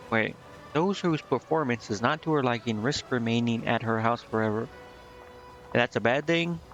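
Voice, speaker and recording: A man with a mid-pitched voice streams a game, reading text aloud in a casual way. He trips over words at times and corrects himself. The sound is a little dull, with music playing behind him. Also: fairly bright tone.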